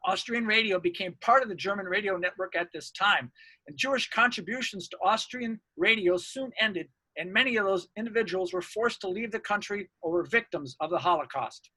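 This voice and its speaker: A man's voice: high-pitched, a little fast, pushed and bright, dry recording, rough recording. A man reading out a scripted academic research presentation.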